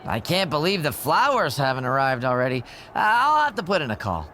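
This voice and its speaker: A smug game-cutscene assistant with a high, very animated voice. He needles with sarcastic, mock-polite lines over noticeable background noise. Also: brisk, full character voice. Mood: mock sympathy. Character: smug, snide male corporate assistant in a wrestling story. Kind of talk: video game cutscene acting.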